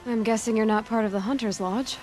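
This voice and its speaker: A woman plays a young huntress heroine in a video game. She is direct, determined and drily sceptical, and music plays clearly behind her. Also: fairly high pitch, fairly even intonation, brisk, darkish tone, lightly acted. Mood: dry, determined. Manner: direct, dry, questioning. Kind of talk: video game cutscene acting.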